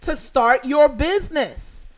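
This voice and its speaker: A woman from Nigeria hosting a live online talk as a finance coach, animated and emphatic, cheering on her guest. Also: high-pitched, slow, crowd noise. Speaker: host, success and finance coach.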